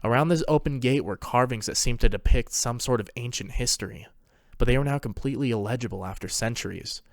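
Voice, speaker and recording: A man narrates a story from a script. His voice is medium in pitch, recorded very dry with no room sound around it. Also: quick pace, no echo.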